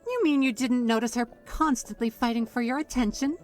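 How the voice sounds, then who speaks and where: A woman's voice: a little high, a little fast, distant mic, soft background music, lightly acted. A woman playing a playful, proud young witch who talks in a teasing, theatrical way in a fantasy audio drama. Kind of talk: fantasy audio roleplay acting.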